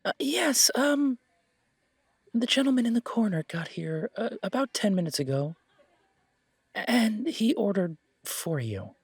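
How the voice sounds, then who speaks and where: High, fussy fantasy shopkeeper. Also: male voice, sing-song, unhurried, breathy edge, no room sound, exaggerated voice. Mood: nervous, apologetic. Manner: prim, polite, fluttery and stammering. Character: fussy, chirpy pointy-eared male shopkeeper, cheerful optimist. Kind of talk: audio roleplay acting.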